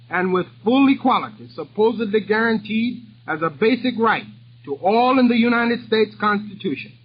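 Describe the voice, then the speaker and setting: Man with a high voice, earnest and declamatory speech, dull muffled old recording with faint hiss. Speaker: speaker at a political address. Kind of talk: formal 1930s political speech.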